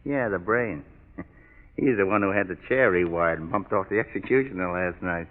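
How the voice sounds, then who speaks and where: A man's voice: low-pitched, moderate pace, lightly acted. An actor playing a cocky, menacing killer gangster in vintage radio crime drama, with a slangy, sneering tough-guy delivery. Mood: boastful, sly. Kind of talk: 1930s-40s radio crime drama acting. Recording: tinny, echoey vintage radio recording.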